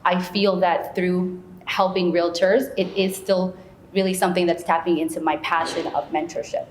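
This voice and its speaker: A woman with a slightly low voice speaks as an interview guest. She is chatty and conversational, dropping in casual asides as she explains. The recording has a clear room echo and faint background noise. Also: flat delivery, moderate pace. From United States, born in Peru. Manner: chatty, frequent "you know" asides.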